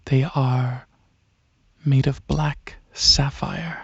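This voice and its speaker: A man voicing a regal, courteous dragon alien prince in a soft-spoken ASMR roleplay, with a husky, breathy voice and a hesitant, musing, explaining manner. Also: medium pitch, flat delivery, very slow, dry recording, muffled recording, full character voice. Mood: soft, proud.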